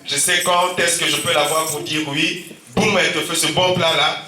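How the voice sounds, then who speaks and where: A pastor with a Central African French accent preaches with a sore, stuffy throat, repeatedly calling out amen and alleluia. His voice is bright and fairly high for a man. He is heard through a roomy church, picked up from some distance away. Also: level tone, moderate pace. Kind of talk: sermon. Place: church hall.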